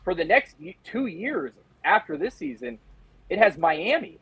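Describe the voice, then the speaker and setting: An American man giving casual sports analysis as a reporter guest, in a halting, conversational way. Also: sits high, sing-song, a bit bright, slightly rough, dry recording, low-quality recording.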